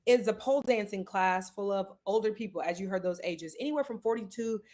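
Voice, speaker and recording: A woman co-hosting a live sports talk show, chatting casually and animatedly with quick asides. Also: mid-range voice, darkish tone. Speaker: podcast co-host.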